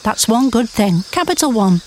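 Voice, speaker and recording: A woman's voice: fairly high pitch, big pitch swings, normal speed, darkish tone, a little hiss or hum. A woman reading wry scripted narration as an advert voice-over.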